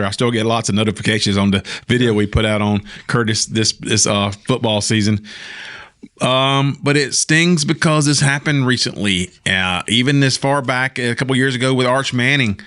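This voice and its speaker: A man's voice: mid-range voice, moderate pace, fairly bright tone, no room sound. American man in a dry, close recording. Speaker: sports show co-host. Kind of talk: casual sports commentary.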